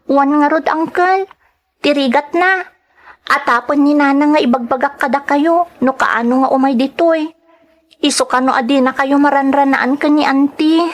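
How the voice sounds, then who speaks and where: A woman playing a troubled, pleading high school girl in a dramatic audio serial, speaking in an earnest, plaintive way. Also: steady tone, moderate pace, lightly acted. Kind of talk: dramatic Ilocano radio-style serial acting.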